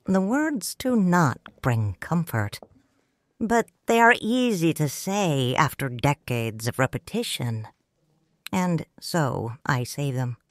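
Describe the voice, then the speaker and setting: Low female narrator, slow n solemn, grieving noble widow in an audio drama, really dry sound. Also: sing-song, dry recording. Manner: measured, solemn, formal. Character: grieving, proud noble widow, mature and restrained. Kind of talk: scripted first-person audio drama narration.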